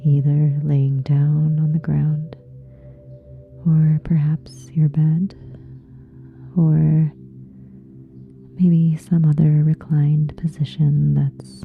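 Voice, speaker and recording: A woman leading a guided relaxation meditation in a soft, breathy, hushed and soothing way, leaving long pauses. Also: sits low, flat delivery, narrow, tinny audio. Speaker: yoga nidra meditation guide. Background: soft meditation music with singing bowls.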